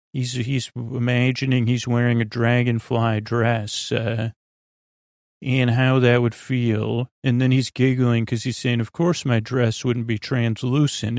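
Creaky-voiced man rambling gently for bedtime. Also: no room sound, clean studio sound. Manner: meandering, hesitant, tangential; low-pressure, reassuring. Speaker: podcast host. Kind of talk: rambling bedtime sleep podcast monologue.

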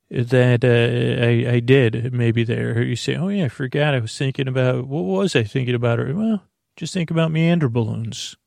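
A man hosting a sleep podcast, talking in a soft, lulling, creaky voice that wanders off on tangents, full of filler words and pauses. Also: mid-range voice, no echo, clean studio sound. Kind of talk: meandering bedtime monologue.

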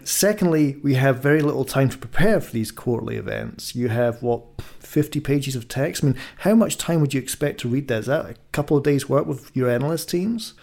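A man's voice: mid-range voice, fairly quick, creaky, hardly any pauses, a little mellow. A man hosting a podcast conversationally, inquisitive and thinking aloud as he questions and recaps. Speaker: podcast host.

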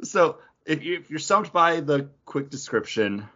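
A man's voice: on the high side, lively intonation, unhurried, sharp tone. A man hosting a casual trivia quiz, chatting and reading out questions on a lo-fi online call. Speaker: podcast host running a trivia quiz. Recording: dull lo-fi voice call.

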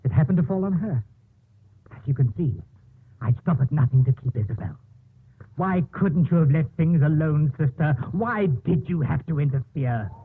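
An actor playing a menacing, lovesick doctor turned murderer in a 1950s radio drama, with an intense, brooding delivery. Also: male voice, on the high side, expressive, normal speed, husky, full character voice. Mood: bitter confession. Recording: muffled, noisy 1950s radio broadcast recording.

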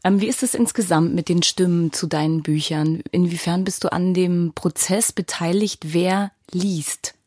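A woman with a slightly low voice interviews a guest as a podcast host. She sounds engaged and appreciative in easy conversation, recorded very dry in a studio. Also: fairly even intonation, normal speed, few pauses, mellow, husky, no room sound.

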